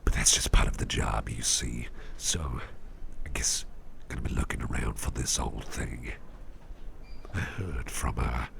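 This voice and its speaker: Extremely deep, gravelly, half-whispering man. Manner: unhurried, gentle, reassuring. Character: soft-spoken, attentive businessman boss who researches legendary artifacts. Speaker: ASMR roleplay performer. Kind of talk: ASMR roleplay, intimate one-sided chat.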